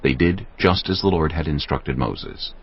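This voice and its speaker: A man narrating scripture from a script in a solemn, measured manner. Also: extremely low pitch, breathy edge. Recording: slightly dull, muffled sound.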